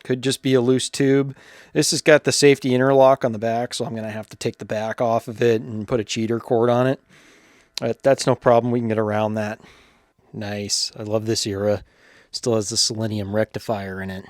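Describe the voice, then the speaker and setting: A man's voice: mid-range voice, little pitch movement, fairly quick, dry recording. Man talking casually through hands-on repair, dry sound. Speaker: vintage radio repairer presenting his own video. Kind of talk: casual hands-on repair narration, thinking aloud.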